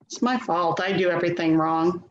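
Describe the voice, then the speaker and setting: A woman's voice: fairly low pitch, normal speed, natural voice. A woman acting an anxious, self-doubting grown daughter in a play reading, speaking in a placating, hesitant way. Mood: dejected. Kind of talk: dramatic play reading, naturalistic dialogue. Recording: echoey, dull, noisy remote recording.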